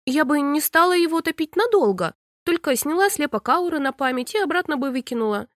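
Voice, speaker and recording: A woman's voice: high-pitched, lively intonation, no echo, studio quality, pitched above the performer's own voice. A woman voicing a young, mischievous mermaid princess who pouts, wheedles and excuses herself. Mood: wheedling. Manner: bright, quick, wheedling, pouty.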